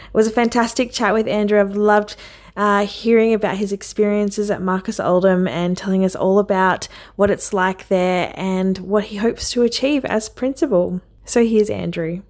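A woman's voice: medium pitch, steady tone, moderate pace, no room sound. Encouraging woman podcast host, dry sound. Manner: warm, encouraging, affirming. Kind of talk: podcast interview, reads intro then chats.

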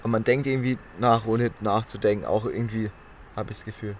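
Man, lowish voice, podcast co-host, casual musing chat, slight background hiss. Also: fairly even intonation, fairly quick. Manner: musing, thinks aloud with loose phrasing.

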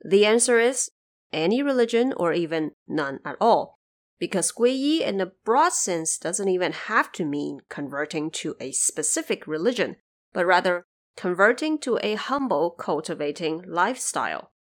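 A woman's voice: lowish voice, very animated, normal speed. A woman from China hosting an explanatory podcast, speaking in an informal, wry way. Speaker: podcast host. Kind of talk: explanatory podcast commentary on folklore.

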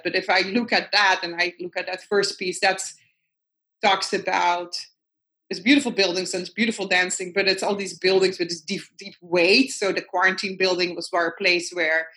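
A woman's voice: fairly low pitch, flat delivery, moderate pace, pushed and bright. A woman with a Dutch accent, whose first language is Dutch, speaks as a guest artist in a casual interview over a video call. She thinks aloud in a reflective way and circles back to her points.

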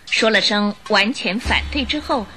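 A woman narrating a radio drama in an expressive, scripted storytelling style. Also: medium pitch, moderate pace, low-quality recording.

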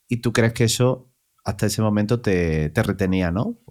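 Podcast host: man, fairly low voice, conversational, rephrases and asks tag questions. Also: normal speed, a little mellow. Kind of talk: conversational interview.

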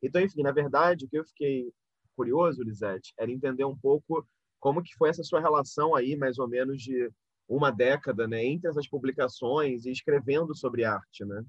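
A man's voice: medium pitch, normal speed, breathy edge. A man with a Brazilian accent interviewing in a polite, discursive, conversational way, circling around his questions. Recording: roomy, dull lo-fi audio.

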